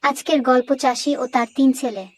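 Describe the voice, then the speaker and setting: A woman narrating a moral story for children in a clear, didactic storytelling manner. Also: sits high, brisk.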